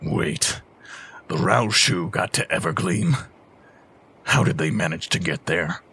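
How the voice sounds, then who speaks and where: A man's voice: deep voice, animated, takes it slowly, pushed and bright, husky, heavily acted. A man playing a noble leader in a fantasy audio drama, speaking in a formal, stately manner. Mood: concerned. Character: noble, formal male leader of a divine army.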